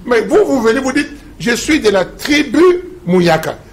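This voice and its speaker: Man, high lively voice, gravelly, emphatic lecturing professor. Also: normal speed, slight background noise. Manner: emphatic, lecturing, repeats slogans. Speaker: professor, debate guest. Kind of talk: TV debate.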